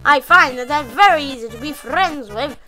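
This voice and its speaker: A woman's voice: high voice, lively intonation, normal speed, bright, forceful tone, soft background music. A woman voicing an exuberant, innocent, grandly proud skeleton monster with theatrical, earnest delivery in a comic dub. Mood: proud, friendly. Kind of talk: animated comic dub acting.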